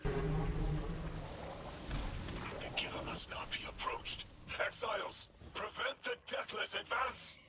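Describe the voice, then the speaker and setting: High, menacing alien zealot, buried in noise. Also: male voice, wildly swooping pitch, moderate pace, creaky, exaggerated voice. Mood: commanding. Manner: grand, proclamatory. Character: menacing alien Archon zealot commanding exiles. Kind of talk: video game villain acting. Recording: distant, very noisy game battle audio.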